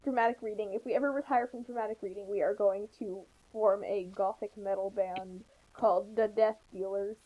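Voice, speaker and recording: A woman's voice: medium pitch, fairly even intonation, brisk, few pauses, lo-fi sound. A woman giving casual, joking commentary in a wry, sarcastic manner. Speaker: co-commentator on a fan fiction reading.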